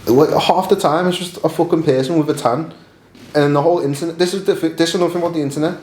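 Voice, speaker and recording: A man's voice: fairly high pitch, fairly even intonation, rapid-fire, a little mellow. A British man in a casual group discussion, blunt and slangy, speaking dismissively. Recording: roomy echo.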